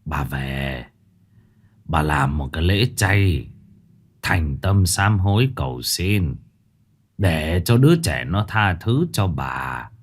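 A man's voice: a bass voice, animated, pitched below the performer's own voice, full character voice. A man doing a grave, sighing old fortune teller. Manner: slow, heavy, sighing delivery.

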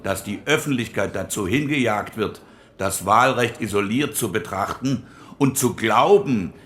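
A man's voice: on the low side, a bit bright. A cautioning elder statesman. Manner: deliberate, cautioning.

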